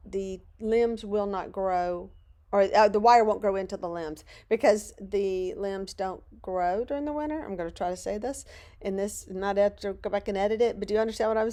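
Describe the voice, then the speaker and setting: A middle-aged woman from Louisiana, hoarse and losing her voice, chatting in a rambling, self-deprecating way as she narrates a crafting video from her home studio. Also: mid-range voice, darkish tone. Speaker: craft channel host.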